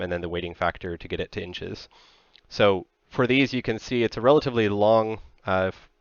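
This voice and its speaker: An American man in his thirties giving a technical slide presentation in a measured, explanatory manner, recorded on a close headset mic. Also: on the low side, clean tone, no room sound, highs cut off.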